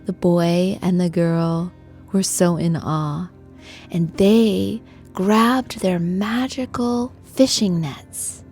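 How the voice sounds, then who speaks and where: Casting: female bedtime storyteller for kids, very unhurried and breathy with heavy creak, soothing guided-meditation delivery over faint music. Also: on the low side, warm tone.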